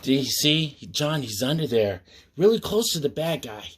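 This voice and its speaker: A man hosting storytime, reading a picture book aloud with mock-earnest asides in a measured storyteller's manner. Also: medium pitch, sing-song, fairly bright tone, a little hiss or hum.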